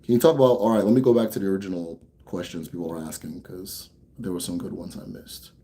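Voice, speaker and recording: A young American man hosting a casual Q&A for viewers. He talks bluntly, swears freely, rambles a bit, then slows down to explain. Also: sits low, fairly even intonation, warm tone. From United States. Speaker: host answering viewer questions. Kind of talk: casual livestream Q&A.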